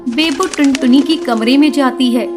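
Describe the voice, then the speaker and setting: A woman narrates a children's story in a high-pitched voice. Her delivery is scripted storytelling, with music clearly playing behind her. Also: moderate pace.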